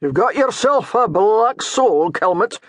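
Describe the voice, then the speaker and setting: A man's voice: high voice, expressive, slow, dry recording, pitched above the performer's own voice, exaggerated voice. A man voicing a dour, mocking Scottish priest with a thick Scottish brogue. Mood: scolding. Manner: baiting, stern, mocking.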